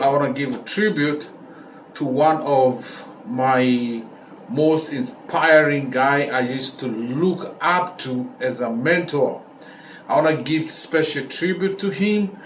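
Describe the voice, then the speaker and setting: A middle-aged man with a Kenyan accent telling stories casually as a vlog host, repeating phrases for emphasis. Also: mid-range voice. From Kenya, raised in Nairobi. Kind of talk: casual talk to camera, storytelling. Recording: roomy echo, distant mic, faint noise.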